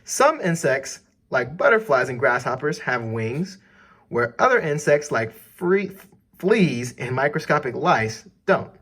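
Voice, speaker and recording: A man's voice: medium pitch, very animated, unhurried, fairly bright tone, husky. A man reading an educational text aloud for children as a teacher, putting questions directly to the listener.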